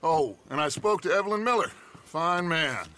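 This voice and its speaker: An actor playing a calm, courteous middle-aged outlaw leader in a video game, speaking in a measured, smooth way. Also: male voice, on the high side, a little hiss or hum. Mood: scheming.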